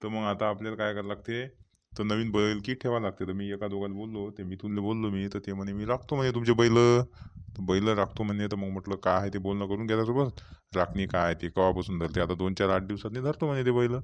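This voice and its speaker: A man's voice: lowish voice, fairly even intonation, fast, lightly acted. A man voicing a talkative village farmer with a rural Vidarbha Marathi accent in cartoon comedy dialogue, rambling and repetitive. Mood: businesslike, chatty. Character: talkative male village farmer chairing a local meeting.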